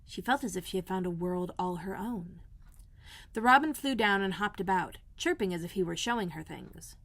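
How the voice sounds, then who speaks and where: A woman's voice: on the low side, animated, normal speed, creaky, a bit bright. Woman reading aloud a children's storybook. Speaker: elementary school librarian, host.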